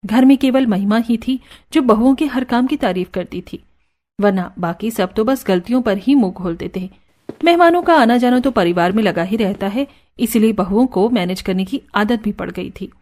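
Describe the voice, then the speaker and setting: A woman narrating an animated story in a smooth, even storytelling manner. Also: a little high, normal speed.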